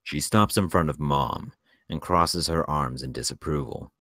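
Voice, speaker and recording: A man's voice: rumbling low, unhurried, fairly bright tone, no room sound, clean studio sound. A man reading screenplay stage directions as narrator at a table read.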